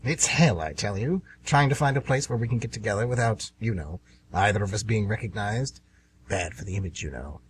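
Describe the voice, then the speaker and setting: A man's voice: lowish voice, a little fast, lightly acted. A man playing the Devil as an anxious, aggrieved, scheming businessman in a comedic amateur radio play, sardonic and always bargaining. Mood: irritated. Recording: noisy amateur radio recording with hum.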